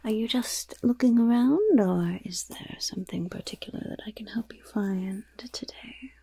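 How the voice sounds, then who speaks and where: Mischievous goblin shopkeeper acted by a woman, breathy whisper, very dry close sound, coy sales patter, ASMR roleplay. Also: fairly high pitch, warm tone, no echo. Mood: sly, welcoming. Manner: unhurried, coy, chatty sales patter with chuckles. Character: mischievous female goblin shopkeeper selling poisons and curses.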